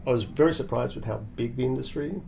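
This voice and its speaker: A man from Australia talks through his career as a podcast guest. His voice is deeply gravelly, full of fry at the ends of phrases, at a middling pitch. He explains at length and restarts his sentences often. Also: darkish tone, a little hiss or hum. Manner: measured, explanatory, with frequent restarts. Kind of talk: conversational podcast interview.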